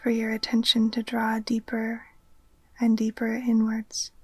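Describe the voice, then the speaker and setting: A woman gently guiding a relaxation meditation in a soft, breathy, near-whispered voice, soothing and unhurried. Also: fairly high pitch, completely flat delivery, no room sound. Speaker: yoga nidra teacher. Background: occasional chimes.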